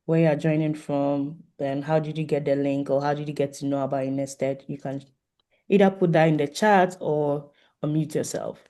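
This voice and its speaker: Online meeting host: soft mellow tone, polite and inviting, informal call moderation. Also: mid-range voice. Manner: polite, inviting participants to introduce themselves. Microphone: video call microphone.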